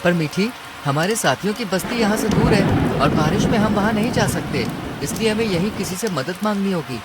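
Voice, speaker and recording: A high-voiced little cartoon mouse husband, played by a man, earnest and polite with a pleading, worried manner. Rain noise runs clearly behind the voice. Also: expressive, fairly quick. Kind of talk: Hindi cartoon story dialogue.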